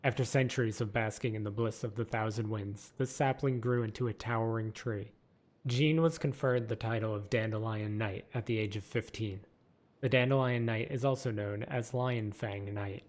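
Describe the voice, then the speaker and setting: A man narrating game lore from a script in a measured, steady reading. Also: lowish voice.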